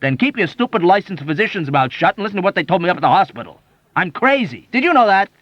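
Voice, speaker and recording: A frantic young airman, as a male reader puts him on, talks in a raised, insistent voice full of exasperation. His delivery jumps between highs and lows. The sound is dry and somewhat dull. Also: very animated, quick pace, no room sound. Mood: exasperated. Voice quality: urgent, emphatic. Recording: dull dry audiobook recording.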